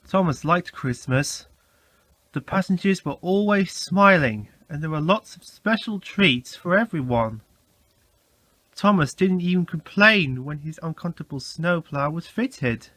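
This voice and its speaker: Male storyteller, children's narration, unhurried pace, very lively intonation, dry close sound. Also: on the high side, no echo.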